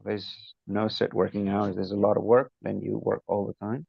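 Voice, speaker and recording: Low gravelly man from Nepal. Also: flat delivery, moderate pace, dry recording, dull audio. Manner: hesitant, fillers, soft laughs. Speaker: student. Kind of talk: casual chat with teacher.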